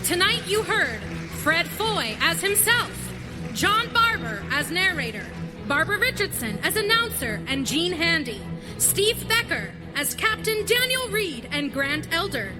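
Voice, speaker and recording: A woman's voice: very high-pitched, very animated, measured pace. A woman announcer reading a scripted sign-off for a live radio theater show. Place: theater stage. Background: music.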